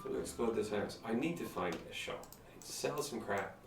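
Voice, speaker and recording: A man with a slightly low voice streams a game, muttering casual, wry commentary to himself. He sounds roomy and a little away from the mic, with clear game music behind him. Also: animated, brisk, distant mic. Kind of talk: casual live gameplay commentary.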